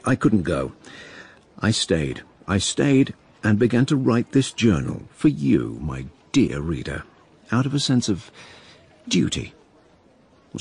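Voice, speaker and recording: Deep, animated, sardonic plague diarist. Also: male voice, creaky, slightly rough, heavily acted. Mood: sardonic, confiding. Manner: sardonic, self-aware, theatrical asides. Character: wry, imperious male narrator-diarist of plague-stricken London. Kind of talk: radio drama narration and acting.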